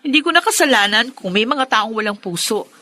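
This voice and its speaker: Defiant Filipino daughter, high and sharp. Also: female voice, expressive, fairly quick. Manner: indignant, sassy. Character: defiant, proud, sharp-tongued young daughter. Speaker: radio drama actor. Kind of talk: Tagalog radio drama acting.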